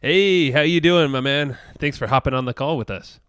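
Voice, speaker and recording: A man's voice: medium pitch, moderate pace, a bit bright, clear, resonant tone, dry recording. A man co-hosting a podcast interview, friendly and rambling, often laughing. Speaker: podcast co-host. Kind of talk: casual interview chat.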